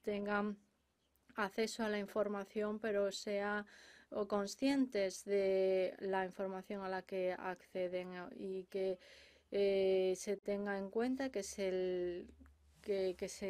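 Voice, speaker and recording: A woman interpreting conference speeches simultaneously from a booth, halting often with filler sounds and restarts. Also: medium pitch, little pitch movement, a little mellow.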